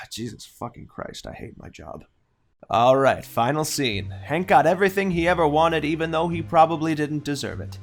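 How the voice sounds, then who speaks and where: A man's voice: fairly high pitch, expressive, moderate pace. A man playing a snarky, jaded narrator of a comedic audio play, full of sarcastic asides. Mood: exasperated, jaded. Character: snarky, jaded male storyteller who hates his job.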